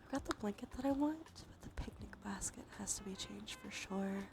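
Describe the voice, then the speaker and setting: A woman's voice: medium pitch, fairly quick, vocal fry. A woman livestreamer giving whispered ASMR commentary on a game, murmuring as she thinks aloud. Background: music.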